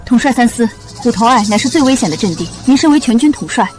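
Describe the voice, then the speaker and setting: A woman's voice: on the high side, animated, fast talker, a little mellow, close to an everyday voice. An actress playing a proud, fierce young female army general in a costume war drama. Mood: formal, resolute. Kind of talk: period war drama acting. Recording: dramatic music under the dialogue.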